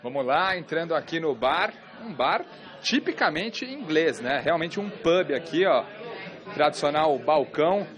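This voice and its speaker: A man's voice: a little high, unhurried, dull audio. A man working as a field reporter, chatting and joking with fans in a crowded pub. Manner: lively, chatty, jokes with fans. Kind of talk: on-location sports report and street interviews. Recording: busy pub crowd behind.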